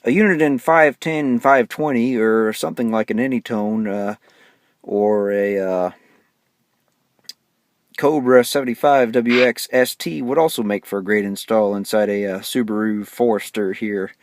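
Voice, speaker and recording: A man giving a casual hands-on walkthrough as a vlogger, speaking haltingly and often pausing mid-sentence. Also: lowish voice, no echo, clean studio sound. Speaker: vlogger showing a radio install.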